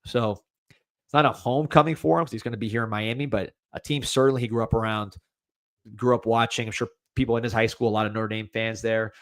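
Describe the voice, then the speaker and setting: A man's voice: medium pitch, fairly even intonation, fast talker, fairly bright tone, no echo. A young adult man with a light Cuban-American accent hosting a casual livestreamed sports podcast, rambling with restarts and a lot of "man.". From United States. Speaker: podcast host.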